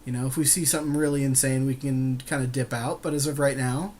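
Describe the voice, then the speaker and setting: A man co-hosting a podcast with casual banter and game commentary, jokey and quick to agree. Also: medium pitch, fairly even intonation, darkish tone, a little hiss or hum. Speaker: podcast co-host.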